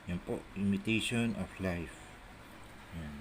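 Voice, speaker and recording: Clear background noise surrounds a handheld phone recording of a middle-aged man with a Manila Tagalog accent. His voice sits fairly low. He talks off the cuff like a collector showing his things, rambling and sometimes muttering to himself. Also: takes it slowly. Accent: Filipino, Manila Tagalog. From Philippines. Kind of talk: casual show-and-tell chat, off the cuff. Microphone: phone held in hand while filming. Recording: noisy handheld phone camera audio.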